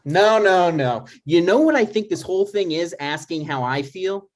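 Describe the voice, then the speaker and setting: A man's voice: sits high, expressive, normal speed. A man playing a neurotic, touchy son in his thirties, argumentative and dry, in a scripted comedy table read. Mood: suspicious. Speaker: writer and actor in a table read.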